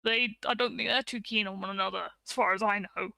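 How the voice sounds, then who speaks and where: A woman's voice: medium pitch, fairly even intonation, brisk, creaky, bright, forceful tone, no room sound. A woman, explaining things casually as a co-host in an informal talk.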